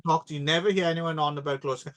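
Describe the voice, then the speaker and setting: A man's voice: a little high, steady tone, rapid-fire, fairly bright tone. A man co-hosting a casual film podcast, jokey and sarcastic, with lots of fillers. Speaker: podcast co-host.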